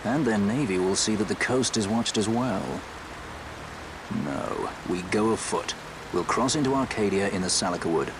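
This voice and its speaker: An actor playing a cool, roguish adventurer in a game cutscene, with a dry, understated and practical delivery. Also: male voice, lowish voice, moderate pace, background noise, close to an everyday voice. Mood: wry, matter-of-fact. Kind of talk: game cutscene drama acting. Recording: game music behind the voice.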